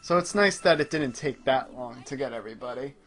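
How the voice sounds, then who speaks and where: A man in a relaxed, informal conversation about manga, speaking as a podcast co-host. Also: medium pitch, a little fast, faint music behind. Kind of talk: casual chat about manga.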